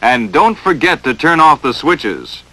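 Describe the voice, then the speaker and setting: A man's voice: a little high, very animated, measured pace, sharp tone, no echo, rough recording. An American man narrating a 1940s instructional film in a stern, emphatic, dramatic coaching manner, on a vintage film soundtrack.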